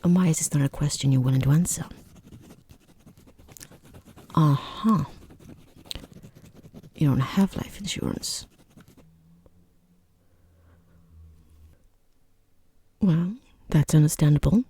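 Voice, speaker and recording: A person voicing a rude, condescending boss in a soft-spoken ASMR roleplay, with a breathy half-whisper, hesitant pauses and dryly mocking, falsely polite understatement. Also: medium pitch, a little hiss or hum, close to an everyday voice. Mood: probing, dry. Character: rude, condescending boss conducting a job interview.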